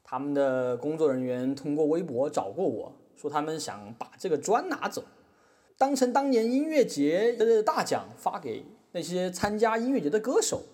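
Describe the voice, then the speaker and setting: Man as an animated, money-minded boss. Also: a little high, normal speed. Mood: eager. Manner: animated, boastful, chatty. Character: brash, money-minded male boss, boastful defendant. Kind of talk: scripted comic testimony in courtroom drama. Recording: echoey hall reverb.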